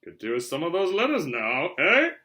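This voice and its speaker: A man voicing a bossy middle-aged uncle in a storybook, exclaiming loudly with manic, falsely cheery glee. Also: a little high, huge pitch swings, normal speed, voice pushed up, heavily acted.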